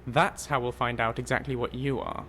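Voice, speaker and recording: A man voicing an eager, skeptical scholar in a fantasy game, explaining things in an animated, didactic way. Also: medium pitch, normal speed, a little hiss or hum, close to an everyday voice. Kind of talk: fantasy video game dialogue.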